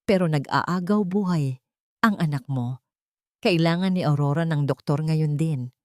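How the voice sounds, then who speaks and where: A woman's voice: low voice, measured pace, a little mellow, no room sound, studio quality. Woman acting a desperate, pleading betrayed wife.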